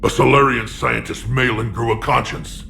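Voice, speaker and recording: A man voicing a proud, battle-hardened alien clan chief, gruff, blunt and gravelly, in a video game. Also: medium pitch, fairly even intonation, moderate pace, sharp tone, soft background music. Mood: accusing. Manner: blunt, combative, growling. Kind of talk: cinematic video game acting.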